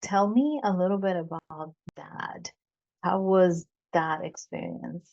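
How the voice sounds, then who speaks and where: A woman hosting a podcast interview, speaking deliberately with some fillers and repeats. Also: on the low side, creaky, darkish tone. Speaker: podcast host. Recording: dull lo-fi sound.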